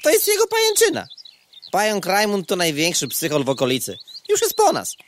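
A man's voice: high-pitched, wildly swooping pitch, a little fast, slight background noise. A man playing a grumpy, sarcastic, defeatist male mosquito in a comic audio drama, whining in crude everyday speech. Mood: doomed. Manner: whiny, sarcastic, crude colloquial griping.

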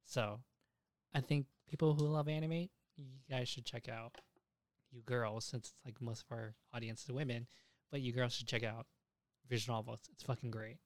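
A man's voice: medium pitch, steady tone, normal speed, dry recording. A man hosting a casual podcast, talking enthusiastically and swearing freely as he goes. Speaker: podcast host.

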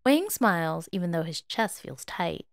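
Female narrator for audio fiction; lively melodic read, very dry recording. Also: fairly low pitch, moderate pace, no echo. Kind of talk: dramatic story narration.

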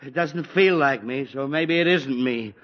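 A man's voice: mid-range voice, a little slow, close to an everyday voice. An actor playing a grumpy, sarcastic middle-aged father nursing a headache in a 1940s radio comedy. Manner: sarcastic, pained groaning. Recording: dull old radio broadcast with faint hiss.

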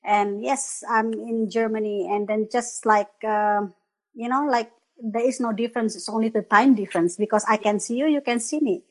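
A woman from Indonesia speaks with an Indonesian accent, her voice set a touch high. As a guest teacher in a casual chat, she rambles through broken phrases and laughs often. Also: steady tone, moderate pace, low-quality recording. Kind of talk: casual live-stream chat.

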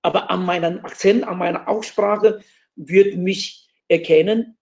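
Man, gravelly mellow voice. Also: high voice, steady tone, unhurried. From Taiwan. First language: Chinese. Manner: hesitant, restarts phrases, searches for words. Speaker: interview guest. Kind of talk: explanatory conversation.

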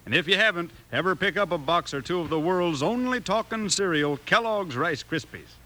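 An actor playing a genial cowpoke with an American Western accent, folksy and friendly, in a 1950s radio advertisement. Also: male voice, high voice, expressive, moderate pace, sharp tone, poor audio. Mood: cheerful, selling. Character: homesick, genial cowpoke pitching breakfast cereal to kids.

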